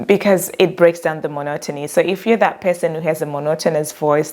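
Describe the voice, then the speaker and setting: A woman career coach who gives direct, earnest advice about workplace communication and calls her own voice monotone. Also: on the low side. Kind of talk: motivational talk to camera.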